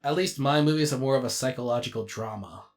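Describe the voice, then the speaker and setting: A man voicing an excitable, insecure robot jet in an animated comic dub, dramatic and hesitant when unsure. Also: mid-range voice, quick pace, lightly acted. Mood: disappointed.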